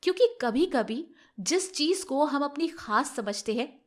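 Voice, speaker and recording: A woman's voice: sits high, animated, a little slow. A woman narrating scripted moral tales for children as a storyteller.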